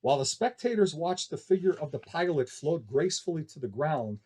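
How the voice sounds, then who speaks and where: A man's voice: a little high, very animated, brisk, warm tone, slightly rough. A man reading a novel aloud as scripted story narration.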